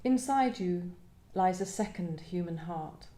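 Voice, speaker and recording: A woman's voice: lowish voice, expressive, unhurried, warm tone. A woman, a poet speaking as a guest at a live literary event in a reflective style. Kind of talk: reflective discussion and poetry reading. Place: echoey indoor venue at a live event. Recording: roomy, echoing live venue.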